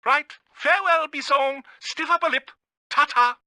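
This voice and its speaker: An actor playing a pompous, theatrical villainous bird genius in a video game, speaking with a British accent. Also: male voice, extremely high pitch, measured pace, bright, forceful tone, no room sound, studio quality, full character voice. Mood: grandiose.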